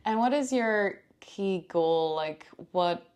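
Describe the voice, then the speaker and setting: A woman host interviewing a guest in a casual chat, friendly and quick to laugh. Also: medium pitch, lively intonation, a little mellow, smooth.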